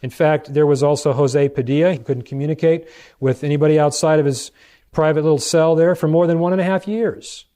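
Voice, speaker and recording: A man with an American accent preaching in a lecturing style, reading out quotations and putting rhetorical questions to his listeners with deliberate emphasis. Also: on the high side, fairly even intonation, dark tone. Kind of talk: sermon lecture with read-out quotations.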